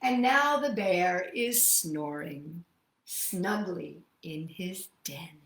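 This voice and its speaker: A woman's voice: lowish voice, expressive, drawn-out words, reverberant. A woman hosting a children's storytime, reading and chatting expressively and speaking directly to young listeners. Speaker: children's storytime host and singer. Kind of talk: children's storytime read-aloud and sing-along.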